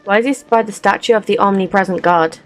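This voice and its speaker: A woman's voice: medium pitch, fast talker. A woman hosting a game playthrough, casually reading dialogue aloud and commenting. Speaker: gaming channel host. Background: game music.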